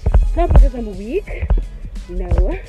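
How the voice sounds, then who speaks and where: A woman's voice: medium pitch, big pitch swings, slow, dull audio, loud music behind the voice. A young South African woman vlogging while hiking up a mountain trail, out of breath and laughing at her own complaints. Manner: chatty, laughing, self-mocking complaints. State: out of breath from climbing. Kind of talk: casual hiking vlog to camera. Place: outdoors on a rocky mountain trail. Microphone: phone held at arm's length.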